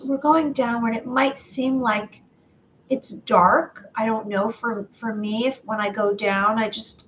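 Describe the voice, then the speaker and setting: A woman's voice: on the high side, fairly bright tone, recorded from a distance, highs cut off. Woman meditation guide, soothing repetitive delivery, slightly off-mic. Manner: slow, repetitive, soothing guidance with pauses.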